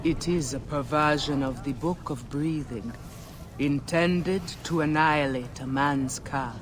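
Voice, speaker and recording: A man's voice: on the high side, a little slow, close to an everyday voice. A man playing an ominous, mystical ancient seer in a video game, speaking in portentous, incantatory phrases. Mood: dire. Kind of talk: dramatic video game acting. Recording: heavy background noise, outdoor ambience.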